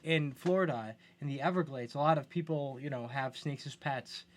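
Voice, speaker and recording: Casual man podcaster, slightly high-pitched voice. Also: moderate pace, slight background noise. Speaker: podcast co-host. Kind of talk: casual chat.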